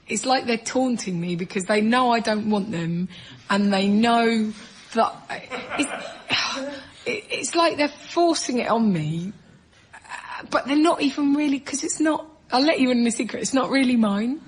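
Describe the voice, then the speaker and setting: A woman's voice: a little high, animated, a little slow, a little hiss or hum. A British woman guest on a comedy panel show, going off on a rambling, exasperated conversational rant.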